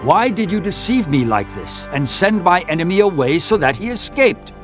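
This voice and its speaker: A suspicious, angry king in a scripture audio drama. His voice is high and swings widely, agitated and demanding, with faint music underneath. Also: very animated, moderate pace, fairly bright tone.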